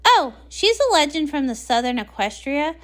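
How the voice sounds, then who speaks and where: Woman acting out a cartoon party pony, very high voice, swooping intonation, playful, chatty, scatterbrained. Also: big pitch swings, moderate pace. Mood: eager. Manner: bouncy, chatty. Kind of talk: acted comic read-along.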